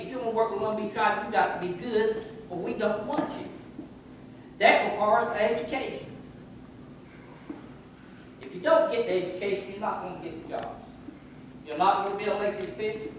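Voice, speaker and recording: A man's voice: high-pitched, a little hiss or hum. A man, giving a folksy, preacherly motivational talk to youth in a large wooden camp hall. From North Carolina. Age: 60s. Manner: folksy, preacherly, gesturing while pacing. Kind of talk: motivational speech. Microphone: far camera. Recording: echoey hall from far off.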